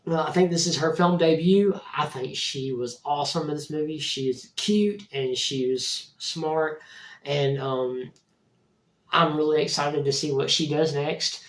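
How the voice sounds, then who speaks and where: Hoarse male reviewer with a cold. Casual and rambling, often restarting. Recorded in an echoey room from a distance. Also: a little high, measured pace. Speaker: movie reviewer, channel host. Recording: roomy echo, distant mic.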